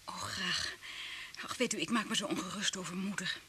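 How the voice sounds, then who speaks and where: An actress playing a quiet, polite, worried young woman in a radio drama. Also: lowish voice, normal speed. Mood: subdued. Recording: old radio recording, faint noise.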